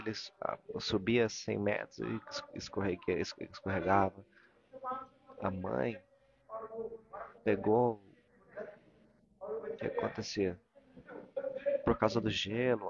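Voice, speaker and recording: Brazilian guy translating a religious talk live, halting, gravelly low voice, kinda muffled recording. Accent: Brazilian Portuguese. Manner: halting, searching for words and repeating phrases. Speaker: interpreter of a spiritual discourse.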